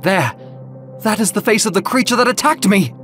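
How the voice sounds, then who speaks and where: A man's voice: high voice, animated, moderate pace, a bit bright, faint music behind. A man playing a haunted young man. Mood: agitated. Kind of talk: audio comic reading.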